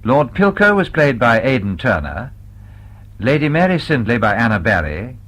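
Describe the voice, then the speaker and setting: A man's voice: mid-range voice, big pitch swings, normal speed, a bit bright. A man with a Received Pronunciation accent reading a formal scripted announcement as a radio continuity announcer. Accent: British Received Pronunciation.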